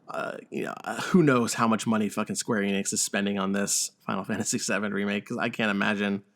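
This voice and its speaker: A man's voice: lowish voice, fairly even intonation, quick pace, a bit bright. A man hosting a podcast, chatting casually about games and film and swearing freely. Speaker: podcast host.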